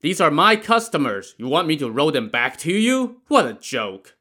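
A man voicing a gruff, scheming male boatman who mocks and threatens his passengers, in a told story. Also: on the high side, sing-song, moderate pace, bright, forceful tone, voice pushed up, full character voice. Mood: cocky. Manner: mocking, threatening.

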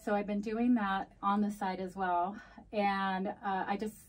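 A woman's voice: medium pitch, flat delivery, a little slow, distant mic. A woman from the United States whose first language is Spanish, answering casually as a podcast guest and mixing Spanish and English mid-sentence. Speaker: podcast guest, community advocate. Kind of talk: casual bilingual interview answers.

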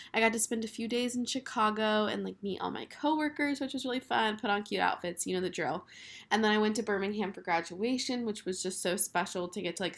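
A woman in her twenties hosting her own podcast, talking casually with lots of fillers while hoarse from a cold. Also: medium pitch, fairly bright tone. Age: 20s. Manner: chatty, filler-heavy, upbeat. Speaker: podcast host. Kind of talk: casual solo podcast chat.

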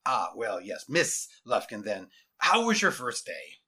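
A man's voice: fairly low pitch, wildly swooping pitch, measured pace, sharp tone, husky, pitched below the performer's own voice, full character voice. A man voicing a large, genial, silver-haired older school principal in a story.

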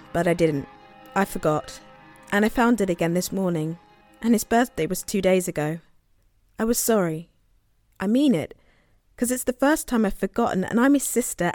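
A woman performs an earnest sister weighed down by guilt in an audio play. Her mid-pitched voice is plain, confiding and persistent, with soft music behind. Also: no room sound, lightly acted. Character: earnest, guilt-ridden sister searching for missing brother. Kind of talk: audio drama acting.

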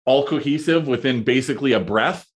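A man in his thirties, chatting as a podcast guest, talking in a halting way with lots of fillers and restarts. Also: medium pitch, slightly rough. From Canada. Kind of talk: casual conversation.

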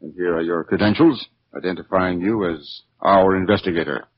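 An actor playing a formal, deliberate, businesslike gentleman in a 1940s radio drama. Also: low-pitched, lightly acted. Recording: muffled, noisy 1940s radio recording.

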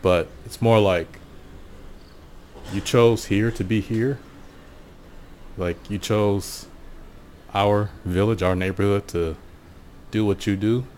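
An American man giving a casual, reflective solo monologue in an unhurried, musing way, often pausing mid-sentence. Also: fairly low pitch, steady tone, darkish tone, slight background noise. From Portland, USA. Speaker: podcast host.

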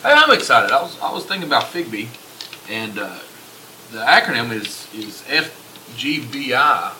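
A man co-hosting a podcast, joking in quick banter. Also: mid-range voice, very animated, bright, forceful tone, recorded from a distance, a little hiss or hum, rough recording. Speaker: podcast co-host. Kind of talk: casual banter.

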